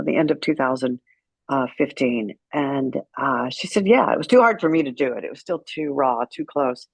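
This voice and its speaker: A woman's voice: deep voice, dry recording, low-quality recording. A middle-aged woman speaking as an interview guest, telling stories in a frank, wry, conversational way. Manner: frank, wry, acts out remembered dialogue. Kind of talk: candid conversational storytelling.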